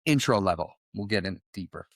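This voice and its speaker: A man's voice: low voice, lively intonation, fairly quick, no echo. Man hosting a podcast, dry close sound. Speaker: podcast host. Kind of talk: conversational interview.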